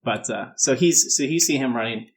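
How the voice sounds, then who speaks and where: A man's voice: medium pitch, normal speed. A man working as game master, describing a tabletop role-playing scene in an informal, explanatory way.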